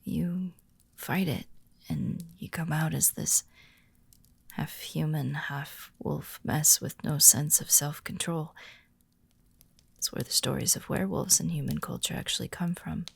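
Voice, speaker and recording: Woman acting as a caring werewolf lady, asmr roleplay, super creaky n breathy, close dry sound, gentle. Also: deep voice, no echo, heavily acted. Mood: quietly explaining. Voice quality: breathy, often near whisper, creaky. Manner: slow, gentle, reassuring, with deliberate pauses. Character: calm, caring female werewolf pack member reassuring a newcomer. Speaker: audio roleplay performer. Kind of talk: soft-spoken immersive ASMR-style audio roleplay.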